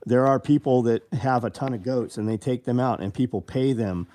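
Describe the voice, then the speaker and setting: A man in his fifties talks off the cuff on a live show, blunt and full of swearing as he rambles through viewer questions. His voice is dark and mellow, sitting at an ordinary middle pitch. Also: moderate pace. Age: 50s. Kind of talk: casual live chat reading viewer comments.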